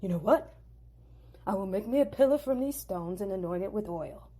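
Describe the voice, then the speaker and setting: A woman's voice: medium pitch, animated, normal speed, mellow, close to an everyday voice. A woman voicing a timid young man on the run, speaking in a wide-eyed, breathless way. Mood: awestruck.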